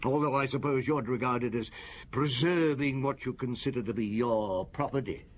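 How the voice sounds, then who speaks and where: An actor playing a weary, relentless middle-aged chief inspector in a radio detective drama, speaking formally and deliberately. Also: male voice, medium pitch, close to an everyday voice. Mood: probing, accusing.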